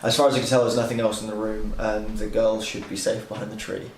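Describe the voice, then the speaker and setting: A man's voice: fairly low pitch, level tone, fast. A man plays in a tabletop game with a dry, exasperated manner. He sits slightly away from the mic in an echoey room, with a faint hiss in the background. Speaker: player. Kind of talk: casual tabletop play. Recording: echoey room, a bit distant.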